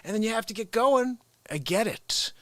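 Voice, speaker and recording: High-voiced excitable man hosting a livestream. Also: moderate pace, no echo. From United States. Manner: rambling, excitable, chuckles often; hypes the show with catchphrases. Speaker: livestream show host. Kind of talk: unscripted live-stream chat with viewers.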